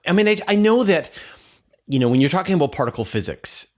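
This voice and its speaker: A man's voice: fairly high pitch, big pitch swings, fast. A man hosting a conversational science interview, inquisitive and thinking aloud as he rephrases things for a lay audience. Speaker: interview host.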